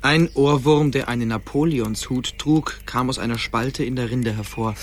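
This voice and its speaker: A man's voice: medium pitch, normal speed, faint music behind, lightly acted. A man narrating a radio play in a scripted storytelling style.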